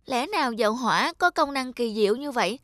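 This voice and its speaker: A woman's voice: fairly high pitch, lively intonation, a little fast. A woman voicing a polite, earnest, hardworking poor servant boy in a children's cartoon. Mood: dejected, then amazed. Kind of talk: dubbed children's cartoon dialogue. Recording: loud music behind the voice.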